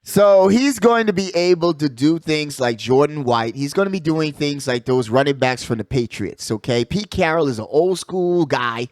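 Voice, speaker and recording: A man's voice: fairly high pitch, animated, moderate pace, few pauses, a little mellow, no echo. A man hosting a casual sports talk show, giving emphatic opinions with repeated phrases and slangy asides. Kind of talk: casual sports debate and commentary.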